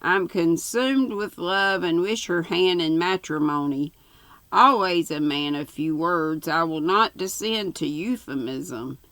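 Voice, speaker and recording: A woman's voice: on the low side, takes it slowly, fairly bright tone, no room sound. A woman voicing a scheming male squire, a pompous and menacing villain in a storybook melodrama. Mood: pompous demand. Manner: pompous, stern, imperious.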